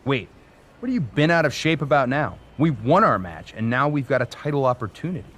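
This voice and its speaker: An actor playing a competitive, self-assured wrestler with an assertive, earnest manner in a video game cutscene. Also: male voice, medium pitch, expressive, brisk, a bit bright. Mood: irritated.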